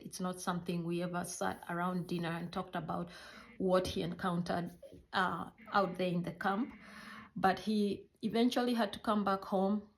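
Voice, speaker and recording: Low-pitched Kenyan woman, halting and reflective. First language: a Kenyan mother tongue. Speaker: healthcare worker recounting her life. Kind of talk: personal storytelling.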